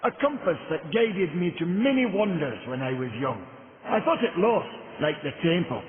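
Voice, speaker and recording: Casting: an animated old craftsman, echoing hall, tinny noisy audio. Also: a little high, moderate pace. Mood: fond. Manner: fond, reminiscing and lively. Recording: tinny audio with long hall reverb and noise.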